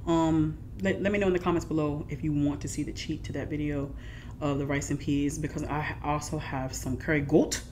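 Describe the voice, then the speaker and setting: A middle-aged woman hosting a casual mukbang vlog at home, lively and chatty, sometimes dropping to soft whispers. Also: low-pitched, brisk, a little hiss or hum. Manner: animated, shifts to soft whispers while eating. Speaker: food vlogger. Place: living room at home, indoors.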